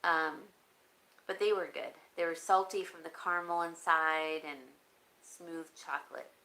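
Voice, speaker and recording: A woman vlogger chatting casually and rambling, answering comments, her voice raspy and broken by frequent coughs. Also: deep voice, steady tone, measured pace, sharp tone. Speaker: home decor vlogger. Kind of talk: casual live-stream chat.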